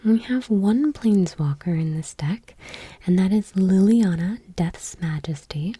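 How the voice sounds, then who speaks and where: Woman doing asmr, super breathy whispery soft reading, mellow and kinda low. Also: no room sound. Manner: hushed, gentle, unhurried delivery. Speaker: ASMR video host.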